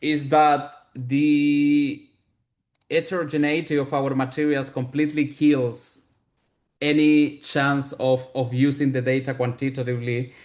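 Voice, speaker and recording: A man from Chile with a Chilean Spanish accent, a native Spanish speaker, answers technical questions as a researcher in a deliberate, explanatory way, often repeating words as he searches for phrasing. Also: medium pitch, level tone, darkish tone.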